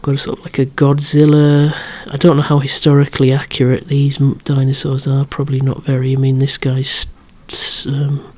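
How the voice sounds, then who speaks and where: A person in a soft, breathy whisper, rambling hesitantly and correcting themselves while showing off a collection in ASMR style. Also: medium pitch, completely flat delivery, normal speed. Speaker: collector presenting a vintage toy collection. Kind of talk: whispered ASMR show-and-tell.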